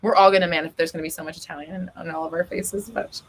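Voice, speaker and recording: A woman hosting a live chat Q&A, reading viewers' comments aloud and answering them, chatty and blunt, swearing freely and often breaking into gasps and high laughs. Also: fairly even intonation, quick pace, rough recording. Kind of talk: casual live-stream Q&A.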